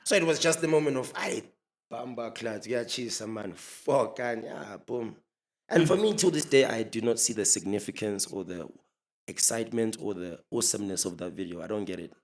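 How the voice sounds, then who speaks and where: Gravelly-voiced man telling stories. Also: lowish voice, moderate pace. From Zimbabwe. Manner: relaxed, laughs often, mixes in local words. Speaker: podcast guest. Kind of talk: casual storytelling chat.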